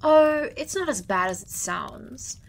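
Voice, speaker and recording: A woman's voice: high voice, expressive, slow pace, creaky, heavily acted. A woman playing a wry, grand female judge of the dead who pronounces sentences in a stately, theatrical way. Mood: imperious. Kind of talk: audio drama acting.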